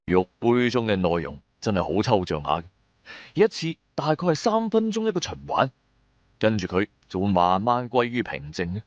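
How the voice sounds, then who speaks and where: A man's voice: fairly low pitch, huge pitch swings, moderate pace, no room sound, dull audio. A man narrating a scripted first-person audio drama in Cantonese-accented speech, in a colloquial, dramatic storyteller's manner. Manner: colloquial storyteller delivery with sentence-final particles.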